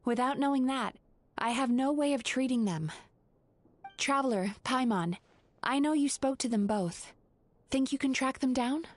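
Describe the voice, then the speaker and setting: A woman voicing a calm, caring young female spirit who digests dreams, speaking earnestly in a video game. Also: high-pitched, a little slow, no room sound. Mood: concerned.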